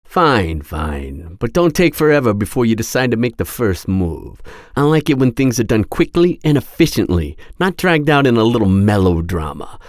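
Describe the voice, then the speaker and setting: A man's voice: fairly low pitch, sing-song, normal speed, hardly any pauses, dry recording, studio quality. A man playing an imperious crime boss in an audio roleplay drama, smug, cold and businesslike. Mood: impatient, curt.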